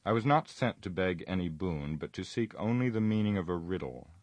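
Boromir, a man reading as a proud deep-voiced warrior. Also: moderate pace, dry recording, muffled recording, close to an everyday voice. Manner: earnest, formal storytelling. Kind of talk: audiobook reading.

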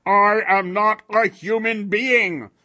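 A menacing golem, performed by a man, grandiose and humorless. Each word is drawn out very slowly in a harsh, husky monotone, loud and portentous, as in a storybook reading. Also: mid-range voice, no room sound. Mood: loud, insistent. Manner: slow, loud, portentous declarations.

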